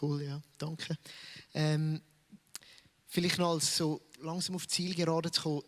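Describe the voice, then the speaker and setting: Swiss german guy interviewing, bit high voice, long soft questions, echoey hall. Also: little pitch movement, unhurried. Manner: gently probing, asks long questions.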